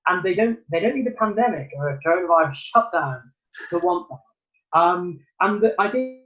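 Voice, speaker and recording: A man's voice: high voice, animated, moderate pace, phone-quality sound, faint music behind. A man from Newcastle giving thoughtful, earnest answers as a theatre producer in a remote interview, with many ums and restarts. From Newcastle, England.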